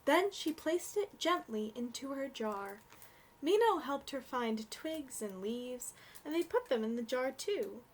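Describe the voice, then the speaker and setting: Young woman, children's story reader: high, lively intonation, gentle, takes her time. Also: measured pace, a little hiss or hum, poor audio. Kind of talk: read-aloud storybook narration for children.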